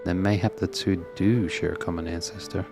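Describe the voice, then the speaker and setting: A man's voice: low-pitched, fairly even intonation, a little fast, vocal fry. A man streaming a video game, reading dialogue aloud and adding casual, reactive asides. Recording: stream voice over game music. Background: game music.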